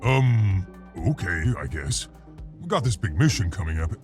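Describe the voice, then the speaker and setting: An actor playing a large, earnest, hesitant gorilla scientist in a video game. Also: male voice, low-pitched, sing-song, normal speed, distant mic, over music. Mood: awkward, earnest. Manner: hesitant, awkward. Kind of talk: video game character voice acting.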